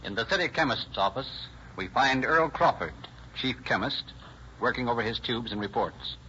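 A male announcer reads formal scripted narration in 1930s radio style. His pitch is medium, and the recording is muffled with loud noise. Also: moderate pace. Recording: dull, very noisy old radio recording.

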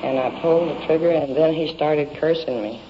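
A woman's voice: sits low, a little fast. A woman giving a plain-spoken, rambling account as a witness in a police interview. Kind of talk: recounting events in a police interview. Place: indoors, in a home. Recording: muffled, noisy 1950s field radio recording.

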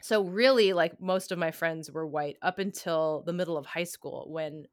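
A young woman, co-hosting a podcast and telling personal stories in an animated, casual way, full of "like" and "right?". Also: on the low side, normal speed, no room sound, studio quality. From United States. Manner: animated, heavy use of "like" and "right?". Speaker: podcast co-host. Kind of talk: casual conversational storytelling.

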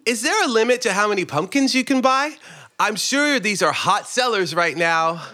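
A man voicing an anxious, incredulous shopper in a comic audiobook, flustered, excitable and prone to stammering. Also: high voice, moderate pace, pitched above the performer's own voice. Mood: amused disbelief. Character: anxious, incredulous male holiday-loving shopper, easily alarmed. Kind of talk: comic audiobook character acting.

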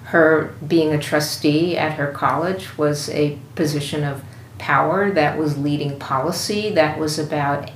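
Low-voiced woman in echoey room. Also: slight background noise. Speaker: composer, interview guest. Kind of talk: thoughtful interview talk. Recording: roomy echo, faint music.

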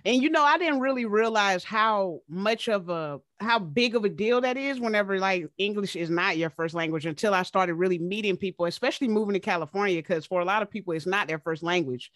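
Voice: medium pitch, fairly bright tone, no room sound. Slangy podcast host, dry close sound. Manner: encouraging, quick reactions, slangy. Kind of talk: casual podcast interview.

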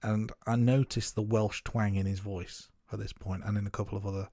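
Brief: male podcast co-host, lowish voice, dry close sound, steady scene recap. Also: brisk, no room sound. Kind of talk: casual film review chat.

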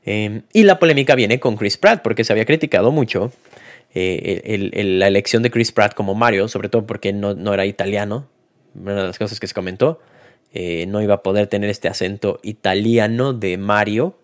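A man's voice: fairly low pitch, fairly quick. A man giving a casual spoken film review, hesitant, with many fillers and restarts.

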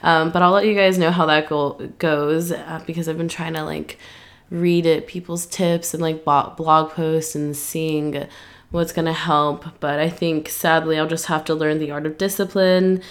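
A woman's voice: low voice, little pitch movement, normal speed. A young woman, slightly nasal and stuffy from allergies, talking through her plans on a solo podcast, rambling with lots of "um" and "like". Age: 20s. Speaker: podcast host. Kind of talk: casual solo podcast chat.

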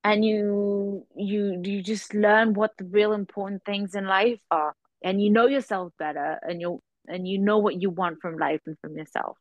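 A woman's voice: medium pitch, steady tone, normal speed, hardly any pauses, dry recording. Woman in her thirties, dry muffled sound. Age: 30s. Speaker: podcast host. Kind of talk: casual conversational discussion.

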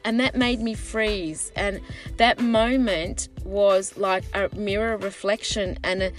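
A woman's voice: medium pitch, slow, faint music behind. A middle-aged woman, a local councillor whose first language is Sicilian, talking at length in a casual podcast conversation. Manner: animated, talks in long run-on stretches.

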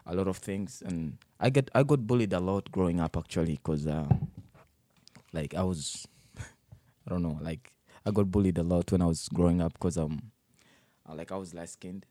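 Young man from the Congo, deep mellow voice, halting storytelling full of fillers. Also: no room sound. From Democratic Republic of the Congo, raised in Uganda. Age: 20s. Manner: halting, many fillers and restarts. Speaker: interviewee. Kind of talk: personal life story interview.

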